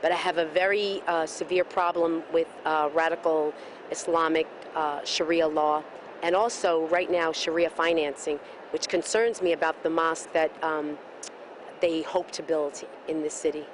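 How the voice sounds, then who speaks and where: A woman with a somewhat low voice speaks with fervor, building her answer like a speech and asking rhetorical questions. She is outdoors at a street rally, with faint street noise under her words. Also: fairly even intonation, normal speed. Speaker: rally protester being interviewed. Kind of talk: impassioned street interview.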